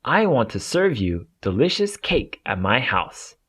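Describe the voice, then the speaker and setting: A man's voice: medium pitch, very animated, a little slow, a bit bright, slightly rough, full character voice. A man voicing a polite, earnest little teddy bear in a children's storybook. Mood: grateful, gleeful. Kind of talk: children's storybook read-aloud.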